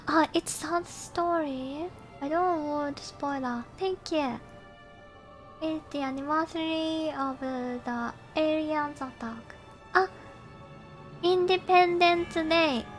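Very high-pitched Japanese woman streamer. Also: fairly bright tone. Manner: polite, thanks and greets viewers often. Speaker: game streamer. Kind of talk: casual livestream chat while gaming.

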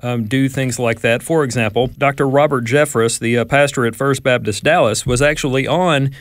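Southern guy chatting news on the radio, relaxed, lots of uhs, dry studio sound. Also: mid-range voice, moderate pace, no echo. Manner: relaxed, conversational with hesitations. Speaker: news guest. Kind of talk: radio current events discussion.

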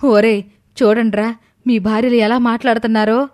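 A woman's voice: a little high, flat delivery, moderate pace, a little mellow, lightly acted. A woman playing a stern, aggrieved older mother in a cartoon, reproachful and firm. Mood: shocked, indignant.

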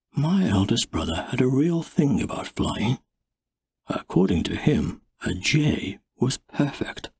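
A man narrating an audiobook in an expressive, dramatic storybook style. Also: fairly low pitch, gravelly, slightly rough.

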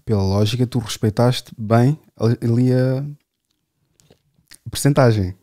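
A man making low-key, muttered asides in a casual chat. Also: unhurried, mellow, dry recording, studio quality. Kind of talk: offhand remarks in a casual chat.

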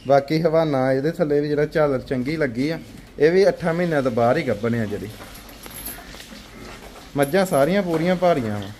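Brisk Punjabi-accented man selling buffaloes. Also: a little mellow, a little hiss or hum. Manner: brisk, asks prices then pitches to viewers. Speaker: livestock dealer showing buffaloes for sale. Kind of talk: casual on-site sales walkthrough.

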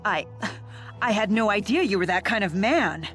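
A woman acting a proud, stern young female spirit lord in a video game dub, speaking in a formal, measured way. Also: medium pitch, animated, a bit bright, soft background music, natural voice. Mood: flustered.

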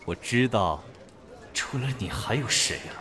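A man's voice: lowish voice, expressive, unhurried, a bit bright, big-room sound, a little hiss or hum. A young man acting a brash, foul-mouthed but secretly fond male student in a radio drama. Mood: gruffly fond. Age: young adult.

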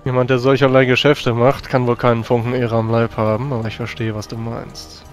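A man's voice: medium pitch, level tone, brisk, faint music behind. A man improvising in-character dialogue as a tabletop player, with formal, old-fashioned phrasing. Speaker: tabletop role-playing player.

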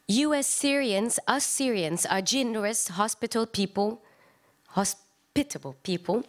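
Woman, actor, for a dramatic literary reading on stage; medium pitch, expressive, shifts from chatty to declamatory.

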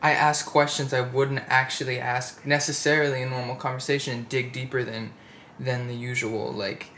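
A young man in his twenties hosting a podcast, asking relaxed, probing follow-up questions in a casual chat on a living room couch, into a studio mic on a stand. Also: medium pitch, moderate pace, roomy sound, slight background noise. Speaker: podcast host.

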